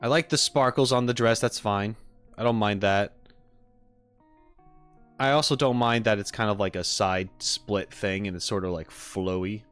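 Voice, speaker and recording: A man's voice: fairly low pitch, moderate pace, fairly bright tone, clear, resonant tone, soft background music. Casual, rambling male streamer. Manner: rambling, informal, frequent hedging and "like". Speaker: streamer reacting to game character trailers. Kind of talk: casual live-stream chat and critique.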